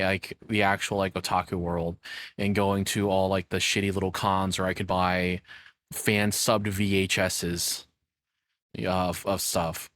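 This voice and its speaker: Low-voiced casual male streamer, dry sound. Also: fairly bright tone, smooth, no echo. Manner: informal, rambling, searching for words. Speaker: streamer reacting to a video. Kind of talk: casual reaction commentary.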